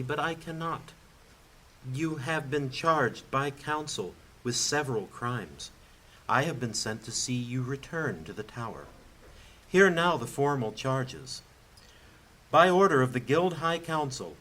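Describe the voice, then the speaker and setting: A man's voice: medium pitch, sharp tone, rough recording. A man playing a stern, formal red mage. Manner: formal, proclamatory.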